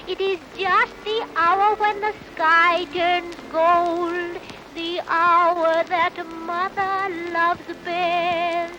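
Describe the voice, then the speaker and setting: A woman performing a sweet, doting little daughter who longs for her daddy, in a slow sentimental recitation on an old gramophone record. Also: very high-pitched, muffled recording, exaggerated voice.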